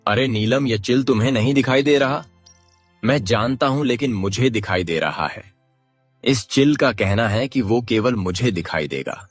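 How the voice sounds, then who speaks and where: A man's voice: medium pitch, lively intonation, moderate pace, dry recording, faint music behind, natural voice. A man playing an honest, simple village farmer in a cartoon story, sincere and questioning. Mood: nervous, puzzled.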